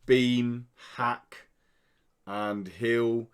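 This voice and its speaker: A man's voice: medium pitch, fairly even intonation, drawn-out words, smooth. A man co-hosting a casual gaming podcast, talking in an enthusiastic, rambling, informal way. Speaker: podcast co-host.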